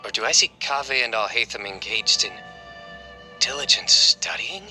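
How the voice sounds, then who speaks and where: A serious formal judge, sharp edgy tone, a bit low, game line. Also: male voice, normal speed, soft background music. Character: stern formal desert judge, young man. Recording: game voice line over faint score.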